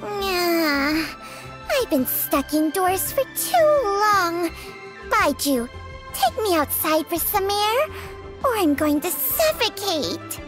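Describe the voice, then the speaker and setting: A sardonic female snake needles her companion in a high, swooping voice. Her scolding is dry and teasing throughout this game scene. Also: sing-song, takes it slowly, music in the background, heavily acted. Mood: bored. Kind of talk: game cutscene voice acting.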